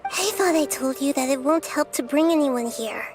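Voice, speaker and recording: Woman doing a soft little goddess girl, high and patient, loud game music behind. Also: moderate pace, natural voice. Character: gentle childlike goddess of wisdom.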